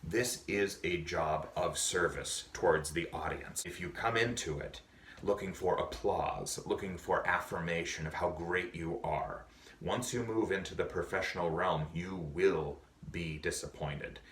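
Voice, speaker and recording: A man with a low voice giving a measured, earnest speech of advice to drama students as an awards presenter. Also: a bit bright. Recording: echoey, distant mic.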